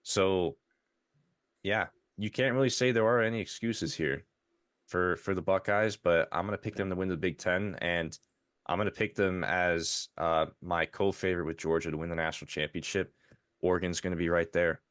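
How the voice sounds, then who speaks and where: A man's voice: low-pitched, fairly even intonation, moderate pace, fairly bright tone, no echo, studio quality. A man co-hosting a casual sports podcast, talking with frequent restarts, his voice tired. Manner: stammering restarts, conversational. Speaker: podcast co-host.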